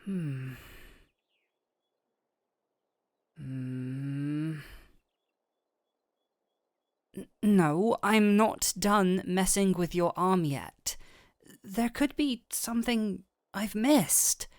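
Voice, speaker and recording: A woman playing a gentle, teasing doctor in an intimate fantasy audio roleplay, speaking softly and affectionately, close to the microphone. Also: fairly low pitch, lively intonation, extremely slow, dry recording. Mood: focused, caring. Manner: soft, breathy near-whispers, affectionate teasing.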